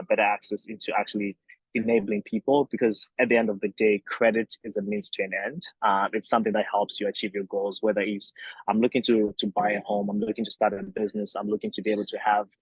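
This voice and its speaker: A man's voice: lowish voice, slightly rough, no echo, low-quality recording. A young man from Zimbabwe, a company founder, answering interview questions thoughtfully with many fillers and restarts.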